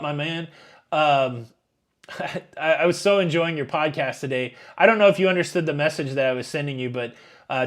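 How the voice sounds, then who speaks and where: A man around fifty hosting a live stream, reading viewer questions and answering in a rambling, chatty way with many fillers. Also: on the high side. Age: 50s. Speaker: YouTube cruise channel host. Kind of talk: live Q&A chat reading super chats.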